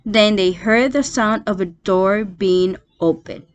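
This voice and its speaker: A woman's voice: mid-range voice, takes it slowly. A woman reading a children's storybook aloud as its narrator. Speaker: storybook reader.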